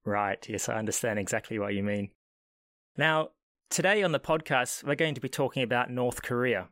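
A man's voice: mid-range voice, moderate pace, fairly bright tone, no echo, studio quality. A man hosting a travel podcast, reading an upbeat scripted intro and then chatting with his guest from home during lockdown. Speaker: podcast host.

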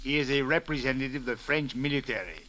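An actor playing a calm, suspicious Cairo police officer with a measured, formal manner in a 1940s radio drama. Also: male voice, medium pitch, lightly acted. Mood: probing, suspicious. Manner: measured, formal, uncontracted speech. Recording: noisy vintage radio recording.

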